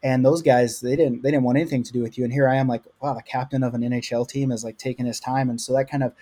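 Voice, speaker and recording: A man from the US South speaks with a light Oklahoma accent, giving an interview on a podcast. He rambles earnestly, full of "like"s, in a mellow, dark tone. His pitch stays flat and even. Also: fast, husky. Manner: rambling, earnest, lots of likes. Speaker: podcast guest. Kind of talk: casual interview.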